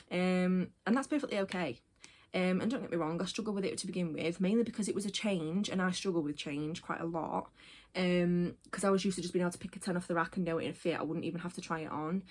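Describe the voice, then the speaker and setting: A woman in her late twenties talks casually to her camera. She rambles and goes off on tangents, filling pauses with ums and likes. Her voice sits a little lower than most women's. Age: 20s. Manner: rambling, chatty, full of fillers and tangents. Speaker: vlogger. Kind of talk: casual personal vlog.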